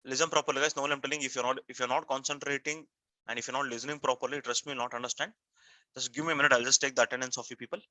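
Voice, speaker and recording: A man's voice: medium pitch, steady tone, racing, bright, forceful tone, dry recording. A man with an Indian accent teaching a live online class, repeating himself and prompting students with frequent questions in an emphatic, step-by-step way. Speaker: software testing instructor.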